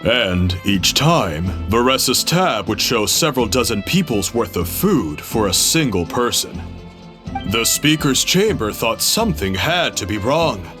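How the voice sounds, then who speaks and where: A man's voice: mid-range voice, sing-song, unhurried, music in the background. An actor playing a friendly uncle innkeeper who hosts an eating contest, chatty and chuckling as he explains. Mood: cheerful. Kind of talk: game cutscene voice acting.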